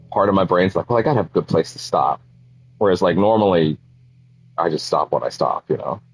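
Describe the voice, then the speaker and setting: Deep husky-voiced man rambling on stream. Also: darkish tone, no echo, poor audio. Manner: rambling, filler-heavy, self-correcting. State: sniffly from a cold. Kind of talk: casual livestream chat while gaming.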